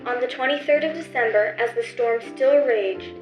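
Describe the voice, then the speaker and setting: A woman's voice: fairly high pitch, normal speed, faint music behind. A woman reading scripted narration for an old-style radio play. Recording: echoey, dull lo-fi recording.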